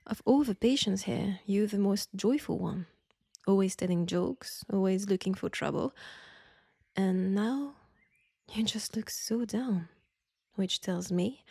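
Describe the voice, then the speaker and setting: A woman with a French accent playing a caring but firm wartime army nurse in an intimate roleplay, speaking softly and breathily in a coaxing way. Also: medium pitch, takes it slowly, no echo, studio quality, exaggerated voice. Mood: teasing warmth, then gentle concern. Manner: soft, breathy, gently coaxing. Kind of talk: intimate roleplay audio acting.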